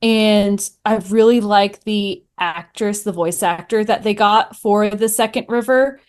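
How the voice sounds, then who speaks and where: A woman's voice: medium pitch, measured pace, a little mellow, clean tone. A woman giving her opinions as a podcast guest in casual chat, conversational and thinking aloud.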